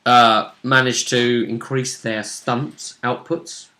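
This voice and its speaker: A man's voice: mid-range voice, flat delivery, slow, pushed and bright. A man chatting casually as a game master, recapping a tabletop role-playing session.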